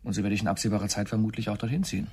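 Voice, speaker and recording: An actor playing a guarded, earnest male astronomer in a German radio drama, husky and brisk with a dry, controlled manner. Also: lowish voice, steady tone, dark tone. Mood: earnest, determined.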